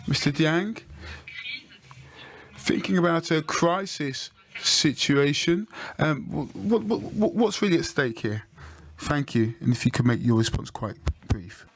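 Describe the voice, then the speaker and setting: A man moderating a panel, explaining points and handing over questions in a measured, polite way. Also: a little high.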